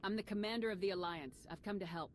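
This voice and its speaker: A woman's voice: medium pitch, fast, slight background noise, close to an everyday voice. A woman playing a steadfast military commander in a video game, direct and assured in her delivery. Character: confident, steadfast female military commander hero. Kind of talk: video game cutscene acting.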